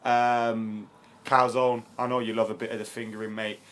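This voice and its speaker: Hoarse, chatty football streamer. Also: male voice, medium pitch, moderate pace. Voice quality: chatty, excitable, laughs often. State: hoarse, voice worn from shouting. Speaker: YouTube football channel host. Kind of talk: live-stream chat and match review.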